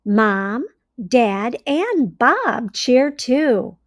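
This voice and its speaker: Female children's storyteller, high voice, very unhurried read-aloud delivery, close dry sound. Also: very animated, no room sound. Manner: deliberate, simply phrased delivery for young children. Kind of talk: children's picture-book read-aloud with questions to listeners.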